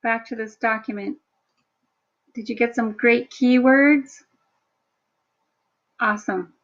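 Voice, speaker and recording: A woman instructor talking through a screen-share workshop in a chatty, self-deprecating way, with a low, somewhat monotone voice. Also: slow, dull audio. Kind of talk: screen-share tutorial narration.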